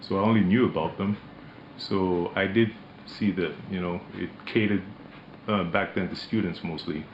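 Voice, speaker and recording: Company director guesting on radio, very deep imposing voice, slow measured delivery, roomy dull studio sound with noise behind. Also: male voice, steady tone. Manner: measured, hesitant with many fillers. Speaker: managing director interviewed as guest. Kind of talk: radio interview, relaxed business talk.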